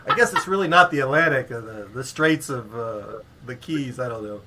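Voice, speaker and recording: A man's voice: medium pitch, expressive, moderate pace, sharp tone, slight background noise. A man co-hosting a livestream, chatting casually, rambling and joking as he introduces a guest. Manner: rambling, joking, self-correcting. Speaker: co-host, coach. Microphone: headphones.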